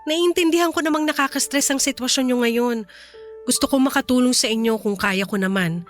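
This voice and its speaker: A woman's voice: high-pitched, fairly quick, faint music behind, lightly acted. A woman acting a kind, reassuring company boss in a Filipino radio drama, earnest and composed, with a Filipino accent.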